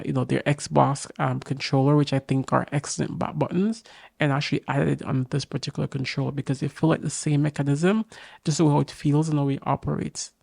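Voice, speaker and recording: A young man with a raspy voice from a cold, casually and eagerly reviewing a game controller to camera in a small home studio. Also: on the high side, dark tone, no room sound. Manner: enthusiastic, talks straight to camera, many fillers. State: getting over a cold. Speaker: tech reviewer. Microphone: boom mic on arm.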